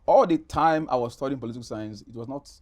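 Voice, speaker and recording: A man's voice: medium pitch, fast talker. Middle aged nigerian guy in an interview, emphatic storyteller, repeats himself a bit. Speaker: interview guest, actor. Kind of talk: animated personal storytelling in conversation.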